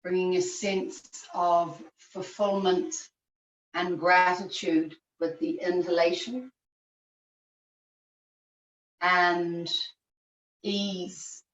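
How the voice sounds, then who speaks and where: Slow, echoey woman guiding deep relaxation. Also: little pitch movement. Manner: slow, measured instruction in short phrases with long pauses. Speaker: yoga teacher. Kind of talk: guided relaxation meditation. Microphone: video call, at a distance. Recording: distant, echoey, muffled video-call recording.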